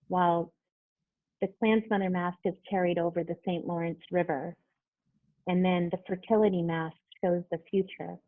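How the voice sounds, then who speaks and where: A woman artist reading her poem aloud, then explaining her work in a halting, casual way over a video call. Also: lowish voice. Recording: phone-like video call audio.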